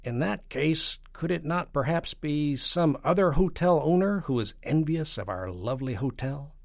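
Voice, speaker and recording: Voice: medium pitch, animated, normal speed, creaky, no echo, clean studio sound, pitched above the performer's own voice. A performer voicing a foolish, self-important president with an emphatic, declamatory manner in a storybook. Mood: guessing eagerly.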